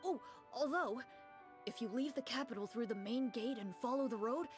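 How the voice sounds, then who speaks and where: A woman's voice: a little high, moderate pace, faint music behind, natural voice. A woman voicing an anxious, grateful townsman in a game, speaking in a flustered, earnest and theatrical way. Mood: earnest worry.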